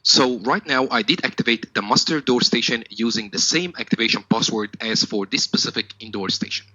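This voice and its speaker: Bright-voiced man giving a methodical tutorial. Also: mid-range voice, dry recording, lo-fi sound. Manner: methodical, instructional. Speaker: technical trainer. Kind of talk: step-by-step product tutorial.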